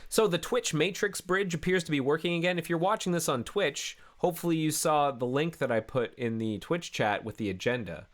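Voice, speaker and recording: A man's voice: a little high, brisk, hardly any pauses. A man hosting a coding livestream in a casual, rambling way, sounding congested from a cold, recorded from his home office. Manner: rambling, self-interrupting, easygoing. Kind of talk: casual live-stream chat.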